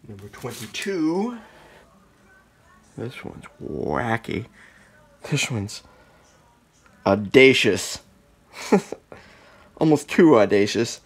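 A man talks slowly and casually while he shows off his own glow-in-the-dark sculptures, his voice mid-pitched. He mutters and whispers between louder, delighted outbursts, and there's a faint hum of room noise behind him in a dark room. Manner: slow, muttering, then bursts of excited exclaiming. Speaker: artist showing his work. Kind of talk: casual off-the-cuff show-and-tell, partly whispered. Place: dark room lit by blacklight.

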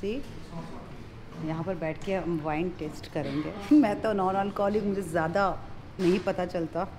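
A woman's voice: fairly low pitch, fairly even intonation, normal speed, background noise. A woman in her thirties with an Indian accent, talking casually and chattily as a travel vlogger, mixing two languages and exclaiming as she goes. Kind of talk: casual travel vlog talk mixing Hindi and English. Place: on location at cafe terraces, streets and winery halls. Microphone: handheld camera at arm's length.

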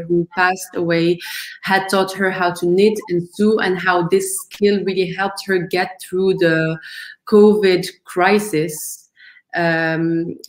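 A woman's voice: lowish voice, roomy sound. A woman founder presenting her project on a video call, earnest and thoughtful, with frequent ums and restarts. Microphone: video call webcam.

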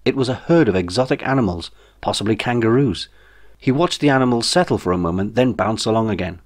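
A man's voice: lowish voice, animated, quick pace. A man narrating a comic science fiction audiobook in a wry, dry manner. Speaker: author reading own audiobook.

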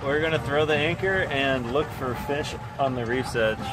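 A man's voice: medium pitch, moderate pace, over loud music. A young man hosting a fishing vlog, chatting casually while fishing on an open boat offshore, recorded on an action camera. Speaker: fishing channel host. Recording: loud engine and wind noise.

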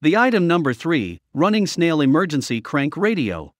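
A man's voice: on the high side, big pitch swings, moderate pace, dry recording, studio quality. A man reading a scripted promotional voice-over as a product review narrator.

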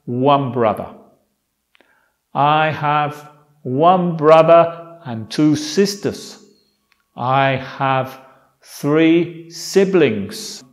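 A man in his fifties from England speaks as a language teacher, recorded indoors on a clip-on lapel mic. His voice sits a little high, and he takes his time, sounding out each example phrase slowly and deliberately. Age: 50s. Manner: slow, deliberate model phrases. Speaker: English teacher. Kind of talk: language lesson explaining in Spanish. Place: indoor room with a motorcycle behind.

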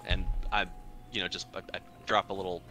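A man with a very low voice and a sharp, bright edge to it, roleplaying his tabletop character. He talks haltingly, full of fillers and restarts. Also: little pitch movement, low-quality recording. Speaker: tabletop player.

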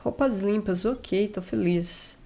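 A young woman hosting a gaming channel, chatting casually through a let's play on a headset mic, still a little hoarse from a cold. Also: mid-range voice, slow pace, dark tone, a little hiss or hum. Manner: chatty, jokey asides to the game characters. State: recovering from a cold, slightly hoarse.

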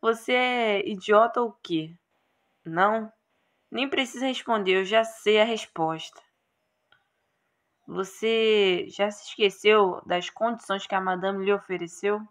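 Woman reading as a haughty horned man, unhurried. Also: medium pitch, natural voice. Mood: scornful. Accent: Brazilian. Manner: scornful, impatient. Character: curt, haughty horned male demon gardener.

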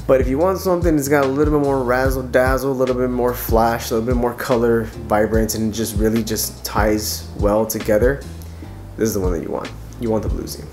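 A man's voice: medium pitch, fairly even intonation, a little fast, a little mellow, faint music behind. A man in his thirties with a stuffy, nasal voice from a cold, chatting casually and enthusiastically to camera from his living room. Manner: chatty, enthusiastic, restarts often. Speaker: watch reviewer. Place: living room with couch, evening lighting.

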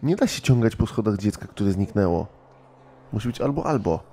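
A man's voice: fairly low pitch, lively intonation, normal speed, dark tone, slight background noise. A man playing a tabletop roleplaying game, improvising in character in an urgent, agitated way.

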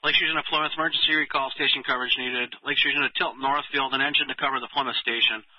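A man's voice: medium pitch, fairly even intonation, very fast. Man dispatching fire crews over muffled radio. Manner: procedural, reads units back. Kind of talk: emergency radio dispatch, clipped formal announcements. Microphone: dispatch radio transmission.

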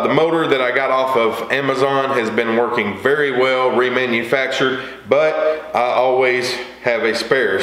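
A man's voice: medium pitch, fairly bright tone. A middle-aged man giving a casual, plain-spoken, folksy walkthrough to camera as a video host. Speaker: lawn care video host. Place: small indoor workshop or garage with wooden shelving. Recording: echoey room, faint background hiss.

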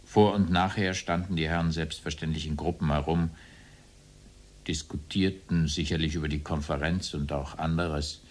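A man with a low voice testifies from memory. He is formal and deliberate, choosing stiff, official-sounding phrases. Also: steady tone. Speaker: witness under questioning.

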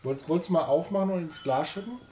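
A man's voice: fairly high pitch, quick pace, noisy background. A man in his thirties co-hosting a casual whisky tasting at home, reading out label details in a chatty way. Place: home room with whisky shelves, seated in armchair.

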